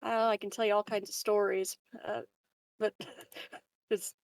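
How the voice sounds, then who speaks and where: A woman's voice: mid-range voice, flat delivery, unhurried. A woman playing a tabletop roleplaying game, chatting casually and improvising in a hesitant, giggly way.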